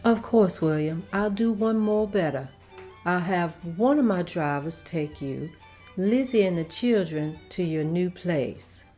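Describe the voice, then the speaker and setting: A woman's voice: fairly low pitch, lively intonation, measured pace, mellow, soft background music. A woman reading the part of Miss Van Loo, a kind, gracious mistress of an estate, in a table read.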